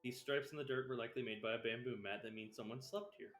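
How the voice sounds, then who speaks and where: A man's voice: mid-range voice, fairly even intonation, quick pace, a bit bright, faint music behind. A man doing live gameplay commentary as a streamer, playful and offhand, sometimes reading game text aloud. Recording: roomy, echoing stream mic, faint noise.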